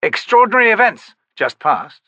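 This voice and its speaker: A man's voice: high-pitched, big pitch swings, normal speed, sharp tone, no room sound, lo-fi sound, voice pushed up, full character voice. A man voicing a suave, cold bearded male sorcerer with a strange foreign accent, speaking in polished, courtly phrases. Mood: cool polite.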